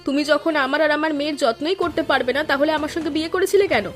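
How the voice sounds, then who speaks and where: A woman voices a village wife and mother in a cartoon, high in pitch and fast-talking. She is fretful, nagging and dramatic, with music underneath. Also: lightly acted. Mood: scolding. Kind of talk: Bengali cartoon voice acting.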